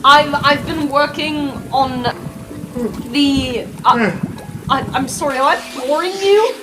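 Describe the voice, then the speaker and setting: A woman's voice: sits high, slow pace, background noise. A woman voicing an awkward, earnest young male student who stammers hesitantly and explains matter-of-factly. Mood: nervous. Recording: music behind.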